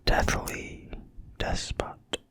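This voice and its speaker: An ASMR creator reading in a quiet, breathy, soothing whisper with no voicing at all. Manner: quiet, slow, soothing delivery. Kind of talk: whispered ASMR reading of a fantasy game manual.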